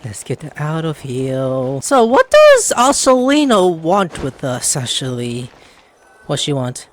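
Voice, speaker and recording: A man's voice: on the high side, wildly swooping pitch, takes it slowly. A man giving casual gameplay commentary, playful and rambling, often stumbling over names. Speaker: gaming video host.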